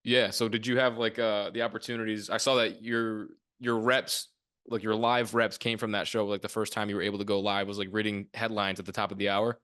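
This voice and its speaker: A man's voice: lowish voice, level tone, fairly quick, fairly bright tone, no room sound, studio quality. A man in his twenties hosting a podcast, asking rambling casual questions full of fillers. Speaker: podcast host.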